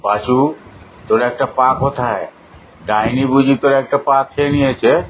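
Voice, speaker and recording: A man's voice: medium pitch, steady tone, normal speed, background noise, voice pushed down, natural voice. A man voicing a concerned village friend in a cartoon, probing and insistent.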